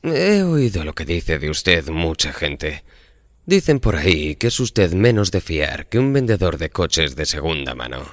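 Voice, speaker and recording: Man playing deep-voiced, wary, earnest bargainer. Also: very animated, unhurried, no echo, clean studio sound, heavily acted. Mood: wary, apologetic. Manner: hesitant, earnest, politely questioning. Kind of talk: audio horror drama acting. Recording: music behind the voice.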